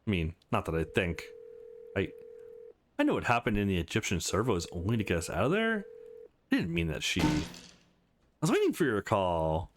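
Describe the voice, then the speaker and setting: A man's voice: lowish voice, sing-song, moderate pace, pauses often, background noise, voice pushed down. A man voicing an eager, slightly unsure young male protagonist of a visual novel game. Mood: doubtful.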